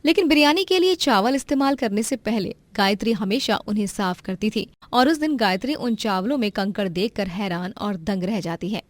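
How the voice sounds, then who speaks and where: A woman narrating a cartoon moral story in a clear, expressive way. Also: medium pitch, normal speed, hardly any pauses, dry recording.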